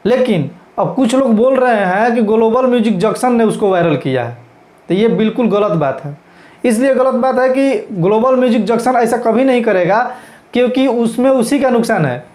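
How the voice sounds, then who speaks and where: A man's voice: very high voice, moderate pace, darkish tone. A man with a Bhojpuri-region Hindi accent giving informal, emphatic news commentary as a channel host, repeating his points as he explains. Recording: echoey, roomy sound.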